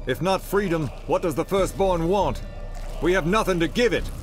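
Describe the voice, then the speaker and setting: An actor playing an earnest, resolute team negotiator in a video game, speaking insistently. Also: male voice, high voice, normal speed, over loud music, natural voice. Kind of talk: video game cutscene acting.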